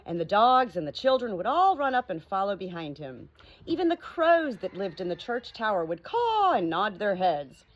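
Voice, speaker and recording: A woman reading to listeners as an audiobook storyteller, in an informal, expressive and emphatic manner suited to children's books. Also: mid-range voice, lo-fi sound. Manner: animated, emphatic, drawing out key words. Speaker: audiobook reader. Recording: noisy farmyard ambience, roosters crowing. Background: farm animals, crowing roosters.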